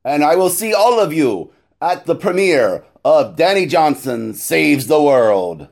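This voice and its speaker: A man's voice: fairly high pitch, big pitch swings, a little slow, vocal fry, a little mellow. A man telling scripted jokes and announcing in a showy, theatrical horror-host manner. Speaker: horror-host comedian with a monthly joke segment.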